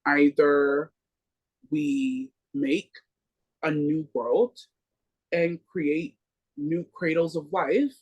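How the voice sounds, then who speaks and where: An earnest book club member holding forth in political discussion, rallying the group. Mid-pitched and steady, preachy in tone. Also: extremely slow, pauses often, slightly rough, poor audio.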